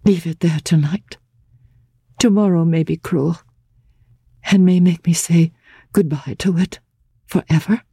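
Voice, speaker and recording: A woman voicing a gentle, earnest young woman, anguished but resolute, with pleading, emotive phrasing, in a literary audiobook. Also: lowish voice, unhurried, pauses often, slightly rough, no echo, voice pushed up, heavily acted. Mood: sad tenderness.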